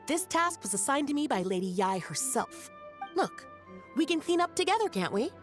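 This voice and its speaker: An earnest young woman from a video game, talks in a fairly high voice with music behind her. She is polite and inquisitive, pressing on with curiosity. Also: big pitch swings, moderate pace. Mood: insistent.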